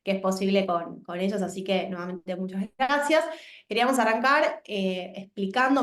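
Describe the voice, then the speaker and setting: A woman's voice: medium pitch, roomy sound. A woman from Argentina, a guest speaker presenting her organization on a video call in a composed, explanatory way.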